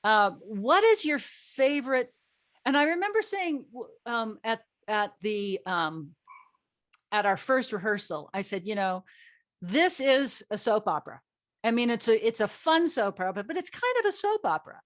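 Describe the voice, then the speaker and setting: A woman's voice: a little high, very animated, moderate pace, dry recording, studio quality. A woman speaking as an actress and host in a casual group discussion, chatty and given to anecdotes. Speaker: audio drama actress and panel host.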